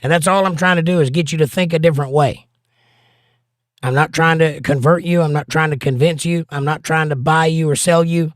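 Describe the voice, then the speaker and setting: A man with a hoarse, partly lost voice, hosting a casual livestream and giving plain-spoken, folksy advice while reading viewer comments. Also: on the high side, steady tone, fairly quick, no room sound, clean studio sound. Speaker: livestream host and pastor.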